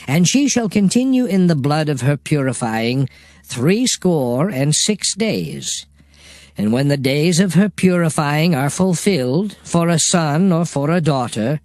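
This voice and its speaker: Divine lawgiver played by a man for Bible audio drama: declamatory, solemn, higher-set, highly animated intonation. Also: no echo, clean studio sound. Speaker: dramatized scripture reader. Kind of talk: dramatized King James Bible reading.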